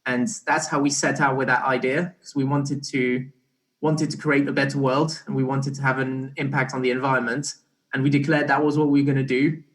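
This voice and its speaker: Young man, halting interview guest, medium pitch. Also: little pitch movement. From Belgian-born, partly raised in Barbados. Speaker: interview guest, startup co-founder. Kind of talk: casual explanatory interview.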